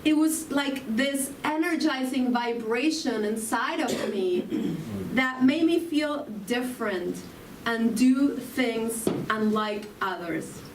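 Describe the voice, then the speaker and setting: Slow Argentine woman in an echoey hall. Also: a little high, background noise. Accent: Argentine Spanish. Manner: slow, reflective, asks the audience questions. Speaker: spiritual talk speaker. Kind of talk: inspirational sermon. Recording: roomy, from far off, faint ambience.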